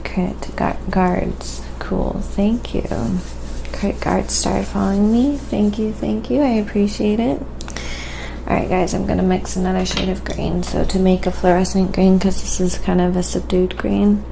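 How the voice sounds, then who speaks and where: A woman hosting a casual painting livestream, chatting with viewers and thinking aloud in a relaxed, halting way. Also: mid-range voice, vocal fry, background noise. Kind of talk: casual livestream chat while painting, reading viewer comments.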